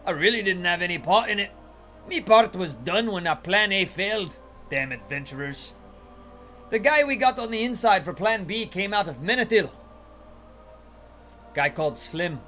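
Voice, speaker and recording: A man voicing a shady male informant from a game quest, talking in a rough dialect. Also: sits high, lively intonation, normal speed, long pauses, bright, forceful tone, soft background music. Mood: evasive. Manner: rough dialect, drops 'my' for 'me'. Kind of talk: reading game quest text aloud in character.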